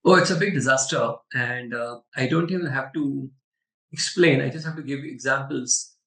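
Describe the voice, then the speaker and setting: A man's voice: mid-range voice, normal speed, a bit bright. A man with an Indian accent speaking as a webinar panelist, telling examples emphatically and checking in with listeners as he goes. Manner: emphatic, storytelling with rhetorical check-ins. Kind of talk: conversational question-and-answer talk. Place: online video webinar. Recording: roomy echo, distant from the mic.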